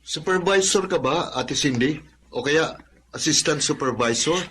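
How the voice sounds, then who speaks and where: A man's voice: fairly high pitch, animated, unhurried, a bit bright, a little hiss or hum. An actor playing a curious young man, a younger brother, in a radio drama.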